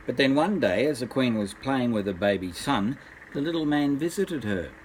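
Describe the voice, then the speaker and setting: A man's voice: mid-range voice, expressive, moderate pace, a little hiss or hum, poor audio. An older man, a grandfather, reads a bedtime story aloud expressively, addressing small children.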